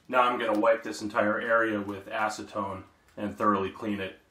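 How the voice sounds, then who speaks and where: Low-voiced man explaining boat work. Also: flat delivery, moderate pace, bright, forceful tone, roomy sound. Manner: plain, matter-of-fact explaining. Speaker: vlogger refitting his sailboat. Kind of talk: casual how-to narration. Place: aboard a boat in a marina.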